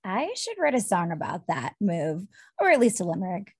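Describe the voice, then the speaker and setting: A woman voicing a good-natured, wordy gnome bard in an animated fantasy table read, with a theatrical manner. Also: on the low side, moderate pace, breathy edge, no room sound, clean studio sound. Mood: playful. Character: stout thirty-five-year-old gnome bard, good-natured and wordy.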